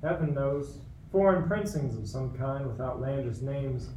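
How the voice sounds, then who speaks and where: An actor playing a fussy, anxious, obsequious royal courtier in a radio play, speaking with smooth formality. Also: male voice, medium pitch, animated, unhurried, a little mellow, natural voice. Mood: gossipy, worried. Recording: roomy, distant mic, faint noise.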